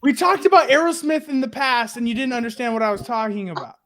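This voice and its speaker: A man co-hosting a casual podcast, chatting in a teasing, animated way. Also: very high voice, fast. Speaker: podcast co-host. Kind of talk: casual chat, bantering. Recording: noisy online call recording.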